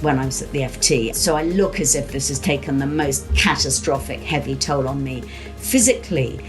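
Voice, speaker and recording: A woman's voice: rumbling low, lively intonation, moderate pace, music in the background. A woman in her late fifties or older, giving a reflective personal account as an interviewee. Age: late 50s or older. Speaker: interviewee, career-changer teacher and former journalist.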